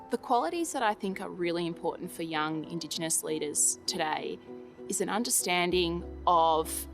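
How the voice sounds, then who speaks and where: A young Australian woman speaking earnestly in a measured, reflective interview, with occasional fillers and restarts. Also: on the low side. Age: young adult.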